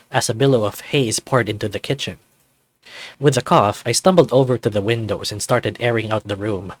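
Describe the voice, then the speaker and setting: A man reading scripted first-person story narration for a visual novel. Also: lowish voice.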